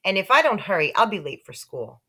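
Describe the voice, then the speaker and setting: A woman's voice: medium pitch, very animated, a little fast, pushed and bright, pitched above the performer's own voice. Woman as a fed-up, emphatic fourth-grade boy. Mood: defiant. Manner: emphatic, exasperated. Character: fed-up, stubborn fourth-grade big brother.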